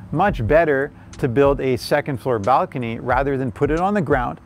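A man's voice: medium pitch, slight background noise. A man in his forties giving an enthusiastic, informal walk-through presentation, explaining things as he goes. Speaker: presenter, house tour guide. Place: furnished house interiors and outdoor deck.

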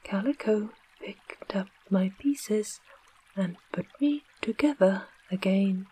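Storybook clockwork man, calm and literal, read by the narrator; whispered, very slow. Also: medium pitch, husky, low-quality recording. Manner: whispered, matter-of-fact.

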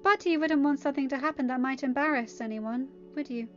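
A woman puts on a prim young lady from a storybook, coolly disapproving. The delivery is high, polite and pointed, on a slightly dull recording. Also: a little fast, lightly acted. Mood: politely condescending. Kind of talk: amateur story reading with dialogue. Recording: dull lo-fi audio, music behind.

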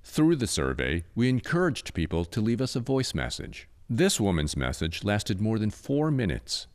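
Male American news reporter, low voice, very varied intonation, scripted narration over music. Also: huge pitch swings, normal speed.

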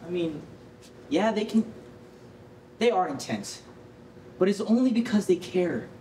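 A shy, earnest schoolboy in a staged reading. He is hesitant, with lively swings of pitch, heard from far off over faint noise. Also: unhurried.

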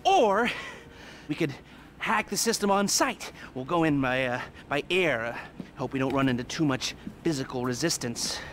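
Game role, male actor: sardonic middle-aged male heist planner, twitchy and halting, forceful bright voice, slight background noise. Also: medium pitch, lightly acted. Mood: gleefully excited. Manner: halting, chuckling, excitable explainer. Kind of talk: video game cutscene acting.

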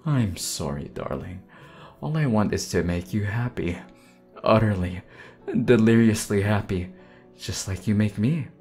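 A man's voice: fairly low pitch, expressive, a little slow, a little mellow, soft background music. A man playing an undead demon husband in an ASMR roleplay, obsessively loving and unhinged, theatrical in manner. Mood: desperate, demanding. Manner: theatrical, swinging from tender murmurs to desperate demands. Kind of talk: ASMR roleplay audio acting.

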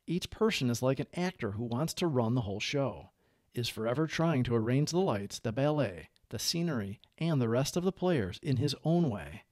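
Guy reading an audiobook, measured phrasing, lively up and down intonation, dry sound. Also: mid-range voice, no room sound. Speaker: audiobook reader. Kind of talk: read-aloud narration of a book.

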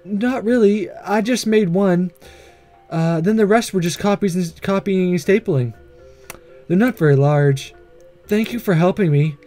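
A man voicing a gentle, studious, earnest schoolgirl in a visual novel, soft, polite and measured. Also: sits high, soft background music. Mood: grateful, earnest. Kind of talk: visual novel read-aloud.

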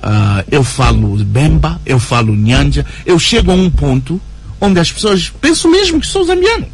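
A man talks as a radio interview guest. His voice is gravelly and very husky, and he thinks aloud with many fillers and tag questions. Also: on the high side, big pitch swings, moderate pace. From Angola. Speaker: interview guest, writer and journalist. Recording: radio broadcast recording.

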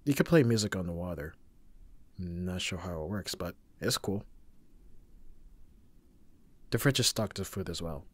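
A man playing a gruff, teasing, protective young bodyguard with a husky voice in an ASMR roleplay, sardonic but reassuring. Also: low-pitched, lively intonation, a little slow, long pauses, no echo. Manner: sardonic, abrasive yet reassuring. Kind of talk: ASMR roleplay audio drama.

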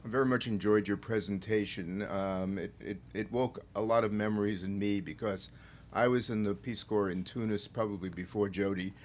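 Older man with a low voice at a standing mic. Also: fairly even intonation, normal speed, darkish tone, slight background noise. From Brooklyn. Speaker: audience member asking a question. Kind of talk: measured audience comment. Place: bookstore event space.

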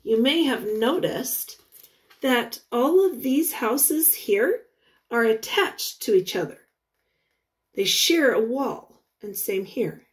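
A woman teacher reads a picture book to young children in a high voice that rises and falls widely. She speaks in short, deliberate phrases and stops to ask the listeners questions. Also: expressive. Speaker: teacher reading aloud to children.

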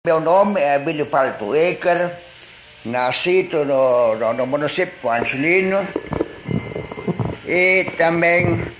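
A man in his seventies giving a slow, halting personal account, sometimes repeating words as he recalls his past. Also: a little high, animated, a bit bright, roomy sound, a little hiss or hum, poor audio. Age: 70s. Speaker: interviewed pioneer settler. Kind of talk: recorded personal testimony.

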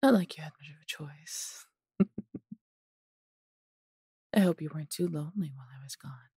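A woman voicing a large, possessive but doting female dragon in an intimate fantasy audio roleplay, coaxing and teasing in a breathy, husky voice. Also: low voice, moderate pace, mellow, no room sound, clean studio sound, exaggerated voice. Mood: smug, teasing.

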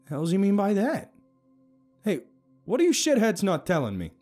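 A man plays the wry young hero of an audio story. He talks casually and candidly with a sardonic edge and lively pitch, music behind him. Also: normal speed, lightly acted. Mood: earnest.

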